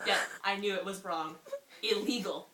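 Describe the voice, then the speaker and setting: A young woman reading a story aloud to a friend in an amused, expressive way, often breaking off for asides. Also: medium pitch, normal speed. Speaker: reader. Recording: roomy, distant mic with faint noise.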